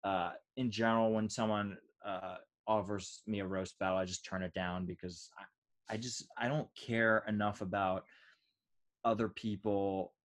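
Man, American accent, lowish pitch, casual anecdotes, rambling, comedian guest. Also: steady tone, unhurried. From United States. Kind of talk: casual chat, telling anecdotes.

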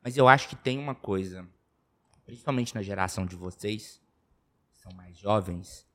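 A man's voice: fairly low pitch, normal speed. Brazilian man in his twenties explaining. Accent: Brazilian Portuguese. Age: 20s. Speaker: podcast co-host. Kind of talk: casual chat.

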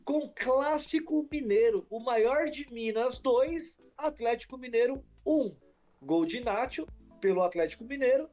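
A man's voice: very high voice, expressive, a little slow, a little mellow, highs cut off, soft background music. A young Brazilian man co-hosting a football podcast, chatting in a slangy, anecdote-filled way. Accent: Brazilian Portuguese. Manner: slangy, storytelling banter. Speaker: podcast co-host. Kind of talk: casual sports podcast chat.

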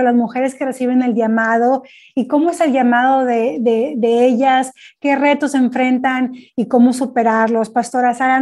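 High-voiced woman podcast host. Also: a little mellow. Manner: formal, welcoming, repeats words while framing questions. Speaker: podcast host, ministry coordinator. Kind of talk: podcast interview.

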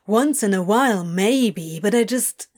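Woman putting on a lanky male demon, stammering, halting, unhurried. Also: medium pitch, animated, darkish tone. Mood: awkward. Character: lanky laid-back male demon, awkward.

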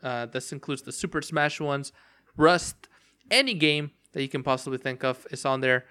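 Young male podcaster, bright, rambling casual delivery, voice worn and cracking. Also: medium pitch, moderate pace, clear, resonant tone. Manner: rambling, many fillers and restarts. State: voice cracking from long talking. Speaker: podcast host. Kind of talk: casual solo game talk.

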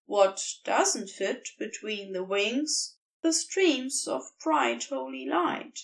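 A woman narrating a scripted story with measured, deliberate phrasing. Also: on the high side, gravelly, far from the mic, rough recording. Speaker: podfic reader.

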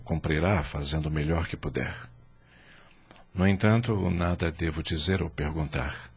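A man's voice: a bass voice, husky, heavily acted. An actor playing a remorseful, anxious middle-aged husband and father in a radio soap opera, speaking solemnly with formal diction.

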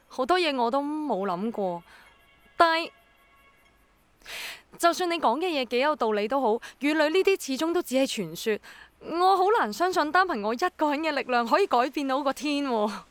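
A woman's voice: high-pitched, expressive, normal speed, dry recording. An actress playing a curious, polite but doubtful young girl in a radio drama, with a Hong Kong accent. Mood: hesitant, doubtful.

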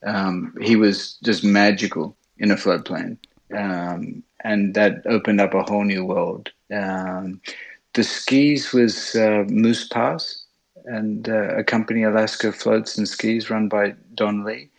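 A middle-aged man with an Australian accent telling his story as an interview guest, speaking in a hesitant, halting way. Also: fairly low pitch, fairly even intonation, gravelly, low-quality recording. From Sydney, Australia. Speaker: interview guest, bush pilot.

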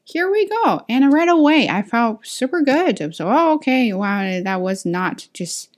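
A woman's voice: fairly high pitch, very animated, a little slow. A woman who is not a native speaker of English, hosting a casual solo podcast and thinking aloud with many fillers and restarts, recorded on a camera mic. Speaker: podcast host and teacher.

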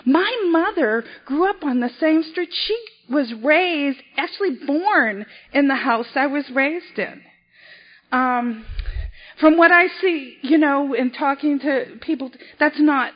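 Woman, convention speaker, high voice, restarts often, muffled hall recording. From South Boston, Massachusetts. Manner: self-deprecating, halting, frequent restarts. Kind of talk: personal recovery story at a podium.

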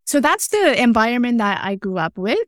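A woman speaks as a podcast guest, her voice sitting a little high. She tells her story earnestly, stopping and restarting phrases. The recording is dry and close, with no room sound. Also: sing-song, no echo. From Taiwan. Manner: earnest, halting, with frequent restarts and small grammar slips. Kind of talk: personal storytelling in conversation.

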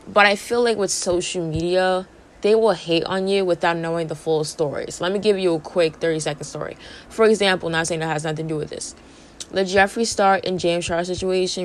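A young woman hosting a podcast, chatting casually through entertainment gossip while she is losing her voice, with lots of "like" and "you know". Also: lowish voice. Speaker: podcast host.

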